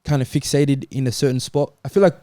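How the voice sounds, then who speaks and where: A man's voice: medium pitch, quick pace, mellow, dry recording, studio quality. A man chatting casually as a football panellist, explaining his view in a tentative, hedging way. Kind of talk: casual chat.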